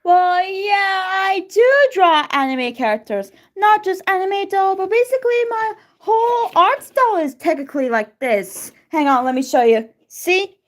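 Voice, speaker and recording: A woman from Turkey with a Turkish accent, whose first language is Turkish, chatting casually on a live stream, excitable and greeting viewers by name. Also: extremely high pitch, a little slow, hardly any pauses.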